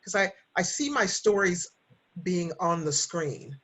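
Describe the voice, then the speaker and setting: A woman's voice: fairly low pitch, lively intonation, unhurried, fairly bright tone. A middle-aged woman chatting casually and candidly, telling personal stories in a reflective way. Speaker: podcast host and writer. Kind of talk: casual conversational storytelling.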